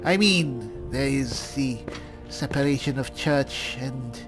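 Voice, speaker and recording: A man playing a hesitant, slow-talking cleric. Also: mid-range voice, over music, lightly acted. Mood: pensive. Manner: halting, mannered, musing. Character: polite, hesitant, bumbling male cleric adventurer. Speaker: roleplaying game player. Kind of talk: in-character gameplay commentary.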